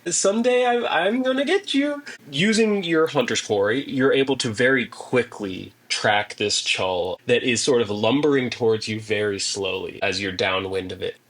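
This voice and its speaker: A man's voice: lowish voice, normal speed, hardly any pauses, fairly bright tone. A man narrating a tabletop roleplaying game as game master, with dramatic, descriptive storytelling.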